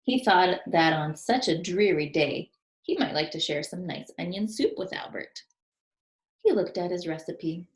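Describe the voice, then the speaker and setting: A woman reading a children's storybook aloud as a teacher, expressive and deliberate, explaining and putting questions to her listeners. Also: fairly low pitch. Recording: roomy, echoing sound.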